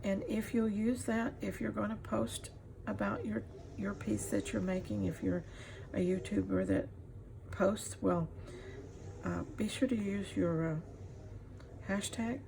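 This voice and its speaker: A middle-aged woman giving a casual craft demonstration as she works, pausing often to think. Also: medium pitch, fairly even intonation. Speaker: craft tutorial host. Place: indoors at a craft table. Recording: clear background noise, room ambience.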